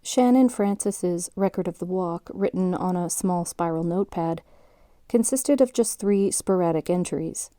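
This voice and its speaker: An actress playing a sober narrator who presents case records formally in a scripted documentary-style audio drama. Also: female voice, on the low side, unhurried, warm tone, no echo, studio quality, lightly acted. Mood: sober, factual.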